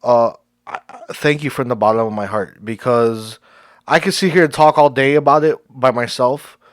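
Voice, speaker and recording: A man's voice: medium pitch, moderate pace, no room sound. Effusive male podcast host, dry sound. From Cuban heritage. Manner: effusive, warm thanks, laughs often. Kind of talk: casual podcast interview.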